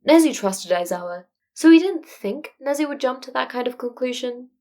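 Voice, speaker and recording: A woman's voice: high voice, expressive, moderate pace, warm tone. A woman reading scripted fan fiction as an audiobook narrator.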